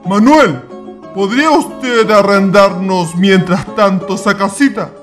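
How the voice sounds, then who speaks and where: A man's voice: high-pitched, takes it slowly, a bit bright, soft background music. A man playing a courteous, earnest Chilean idealist in a radio drama.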